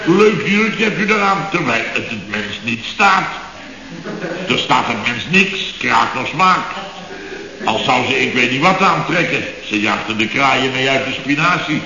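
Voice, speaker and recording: A man's voice: mid-range voice, expressive, a little slow, crowd noise, natural voice. An actor playing a blustering, sarcastic middle-aged contractor boss who grumbles and muddles his expressions in a radio comedy. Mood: scornful. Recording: dull, echoey old radio recording.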